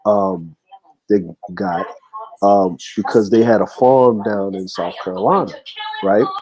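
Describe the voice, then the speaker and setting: A man's voice: on the low side, unhurried, crowd noise, rough recording. A man telling a family story in casual livestream chat, rambling with many fillers and restarts.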